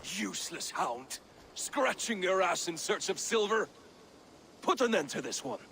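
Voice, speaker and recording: An actor playing a hot-headed, boastful warrior who barks harsh, scornful lines. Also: male voice, sits high, expressive, unhurried, vocal fry, background noise, exaggerated voice. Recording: game cutscene over music.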